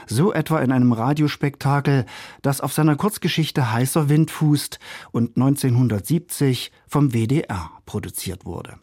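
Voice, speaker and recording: A man's voice: mid-range voice, moderate pace, darkish tone, no room sound, clean studio sound. A male radio culture critic narrating a scripted review in polished, ornate phrasing. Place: studio.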